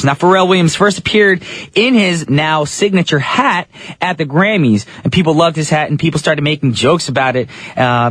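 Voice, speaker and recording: A man's voice: fairly high pitch, fairly bright tone, dry recording. A man hosting a radio show in casual, playful talk, joking with his guest. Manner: playful, jokey, sings snippets. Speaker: radio DJ and host. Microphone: studio microphone.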